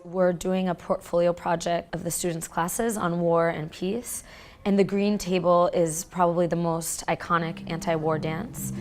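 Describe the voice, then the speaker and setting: A woman teacher calmly explaining her school's dance project in a documentary interview. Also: lowish voice. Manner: explanatory, measured.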